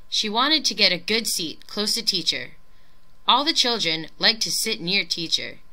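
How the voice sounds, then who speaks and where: A woman reading a children's picture book aloud in an expressive, dramatic storytelling manner with clear phrasing. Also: medium pitch, poor audio. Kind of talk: expressive scripted storybook narration.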